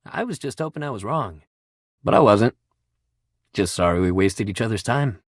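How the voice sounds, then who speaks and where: An actor playing a sports-obsessed, warm-hearted young jock pal in a video game, with exuberant, slangy bro talk. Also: male voice, fairly low pitch, lively intonation, moderate pace, no room sound, studio quality. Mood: hurt, disappointed. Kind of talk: video game character acting.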